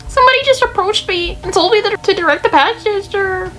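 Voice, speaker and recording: A flustered employee in a story game, acted by a woman. The voice is pitched very high and sounds anxious and apologetic. Soft music sits behind it. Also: brisk, low-quality recording. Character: flustered, apologetic employee questioned about a package.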